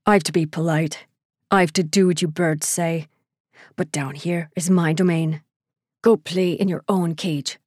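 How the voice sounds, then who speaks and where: Female narrator doing an angry young laborer, low growl, clipped contempt, audiobook character, dry studio. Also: steady tone, a little slow, a little mellow, dry recording. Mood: hostile. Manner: low, rumbling, growling with anger. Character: hostile, bitter young male ward laborer.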